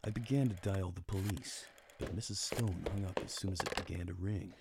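A man playing a world-weary, wry private detective, deadpan, narrating a noir radio drama. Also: deep voice, fairly quick, creaky, heavy background noise. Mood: dry, weary. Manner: deadpan, hardboiled. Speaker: narrator and lead actor.

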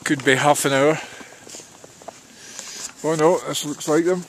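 A man with a Scottish accent filming his ski club on a snowy slope, talking loudly and teasing the others into skiing. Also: on the high side, fairly even intonation, a little slow, a little hiss or hum. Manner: loud, teasing, calls out to others. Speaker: club member filming the trip. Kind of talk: casual banter while filming. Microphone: handheld camera mic. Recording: crowded outdoor ski slope.

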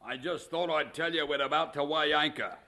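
Sea captain, sharp gravelly tone, slightly high, rustic, grudging, radio drama. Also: male voice, a little fast. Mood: gruff, grudging. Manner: plain-spoken, rustic. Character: gruff, grudging sea captain with rough grammar.

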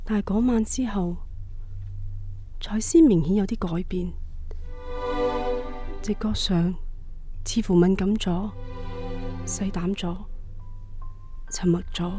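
A woman's voice: mid-range voice, fairly even intonation, slow, long pauses, close to an everyday voice. A woman playing a devoted middle-aged wife and mother in a radio drama. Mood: worried narration. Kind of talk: radio drama acting and narration. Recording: music behind the voice. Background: soft guitar music.

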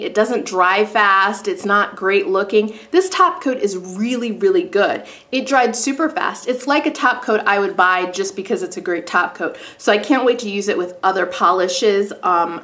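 A woman in her thirties with a cold and a congested voice, chatting casually through a beauty product review from a sunroom at home, speaking to a camera on a tripod, animated and full of self-deprecating asides. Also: mid-range voice. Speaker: beauty vlogger. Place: bright sunroom at home, indoors. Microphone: camera on tripod facing her.

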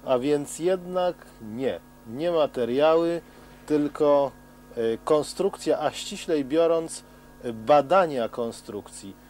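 A middle-aged man explaining helicopter testing as a TV presenter, in a measured way with frequent hesitations. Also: fairly high pitch, lively intonation, darkish tone, a little hiss or hum. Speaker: TV science presenter. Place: outdoors at a helicopter test stand.

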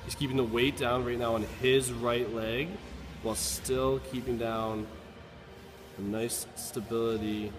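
A man working as a fitness coach, giving casual, plain-spoken instructional commentary on exercises. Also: fairly low pitch. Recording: loud background music. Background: loud music.

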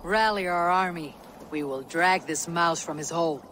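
Stern, high-pitched Viking leader. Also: male voice, moderate pace, pushed and bright, soft background music. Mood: scornful resolve. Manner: terse, commanding. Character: stern, menacing Viking warrior leader, blunt and commanding.

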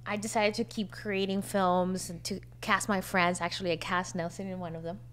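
A woman in her forties who grew up in Venezuela, talking as a guest in a podcast studio on a desk mic, animated and quick to laugh. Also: medium pitch. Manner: animated, laughs often, acts out quotes. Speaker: film studio founder, guest. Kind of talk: conversational interview. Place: podcast studio with a long wooden table. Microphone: desk mic on a stand.

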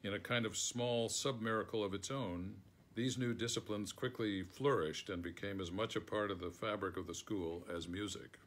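Deep-voiced older American man reading aloud. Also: steady tone, normal speed, a bit bright. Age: older, around 70. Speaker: foreword author reading aloud. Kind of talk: reading a written foreword aloud. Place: book-lined room at home, indoors.

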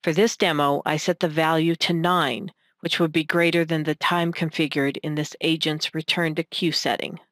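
A woman reading scripted narration for a technical demonstration in a measured, instructional manner. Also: low-pitched, fairly even intonation, a bit bright, dry recording, studio quality. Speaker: software tutorial narrator.

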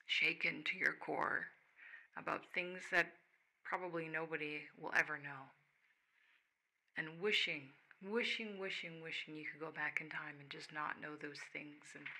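A woman giving a soft, reflective ASMR monologue addressed to the listener, with pauses. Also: low voice, poor audio. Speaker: ASMR host speaking as the listener's higher self.

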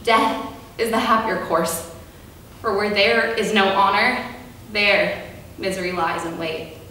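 A woman playing a proud, resolute captive young princess in a classical stage tragedy, with solemn, formal, deliberate delivery. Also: medium pitch, fairly even intonation, pushed and bright. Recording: echoey room, distant mic, faint noise.

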